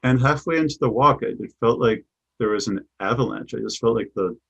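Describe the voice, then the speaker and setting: A man's voice: mid-range voice, a little fast, creaky, fairly bright tone, husky. A middle-aged man with a Chicago accent, answering interview questions in a thoughtful way, often repeating words as he restarts. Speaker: guest, business coach.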